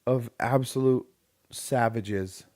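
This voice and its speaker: A man's voice: medium pitch, slow, a little mellow, no room sound, studio quality. A man co-hosting a casual podcast from home, blunt and teasing as he reads out poll results. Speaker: podcast co-host. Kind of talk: casual chat.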